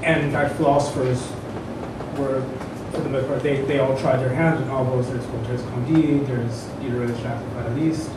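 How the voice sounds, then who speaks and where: A man in his thirties or forties introducing a literary reading informally, halting and digressive as he searches for words. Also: mid-range voice, fairly even intonation. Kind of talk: informal spoken introduction before an audience. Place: indoor event room, at a standing microphone. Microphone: stand microphone, picked up from a distance. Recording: echoey room, distant mic, clear background noise.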